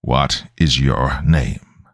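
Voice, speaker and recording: A man's voice: measured pace, breathy edge, no room sound, studio quality, exaggerated voice. A man voicing a stern, determined exorcist in a horror story, speaking in a solemn, commanding way. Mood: insistent. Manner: commanding, solemn, low-voiced praying.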